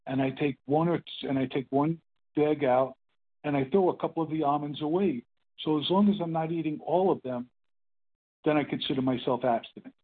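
An older man in his eighties sharing his story at a meeting, rambling and halting with many fillers and restarts. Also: a little high. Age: 80s. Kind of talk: casual spoken testimony. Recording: phone-line call.

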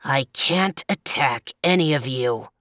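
A woman's voice: fairly low pitch, unhurried, fairly bright tone, clear, resonant tone, dry recording, studio quality. A woman voicing a touchy, boastful male leopard with a snarling manner in a storybook tale. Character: vain, touchy male leopard, toothless but fierce.

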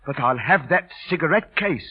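An actor in an old radio drama playing a volatile, streetwise street performer with a rough voice and bossy, ungrammatical talk. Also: male voice, fairly high pitch, expressive, normal speed, noisy background, natural voice. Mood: stern, scornful. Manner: bossy, emphatic, ungrammatical working-class talk. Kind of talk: 1930s-40s radio drama acting. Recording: tinny, band-limited vintage radio broadcast.

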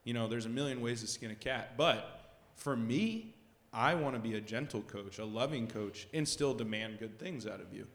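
A man's voice: medium pitch, normal speed. A man, a basketball coach, sharing a personal testimony in an earnest, reflective way. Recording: roomy hall with long reverb.

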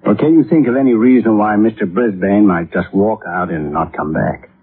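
An actor in a 1950s radio drama playing a serious, polite police sergeant who questions in a measured, official, persistent manner. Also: male voice, on the low side, slight background noise, lightly acted. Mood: serious, probing. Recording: muffled, narrow-band old radio broadcast.

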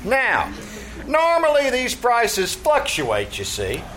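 A man's voice: very high voice, huge pitch swings, slow pace, bright, forceful tone, soft background music, full character voice. A man playing a brash tavern manager in old-time radio comedy, lecturing pompously in streetwise slang. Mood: pompous, know-it-all. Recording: faintly noisy live theatre stage recording.

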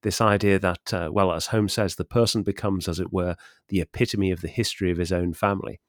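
A man with a lowish voice chats about literature as a podcast host. His manner is wry and discursive, moving easily between comment and quotation. The recording is very dry, without room sound. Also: steady tone, a little fast, no room sound. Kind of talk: conversational literary discussion, quoting passages.

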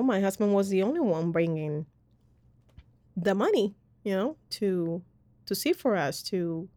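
A woman's voice: fairly low pitch, a little mellow, no room sound. A woman from Colombia, hosting a podcast and sharing her faith story in a rambling, earnest way with lots of fillers. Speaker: podcast host. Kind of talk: personal faith testimony in casual chat.

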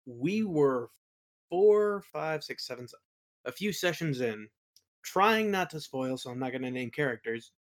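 A man's voice: fairly high pitch, expressive, a little slow. Casual, rambling man as podcast guest. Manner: rambling, colloquial, acts out quoted remarks. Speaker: guest, game master and audio play creator. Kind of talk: casual chat, anecdotes.

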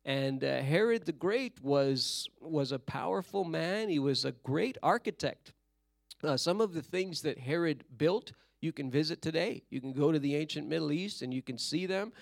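Hoarse male preacher, gravelly and slightly high, measured storytelling delivery for a Bible lesson. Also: expressive, dry recording, clean studio sound. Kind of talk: sermon-style Bible history lesson.

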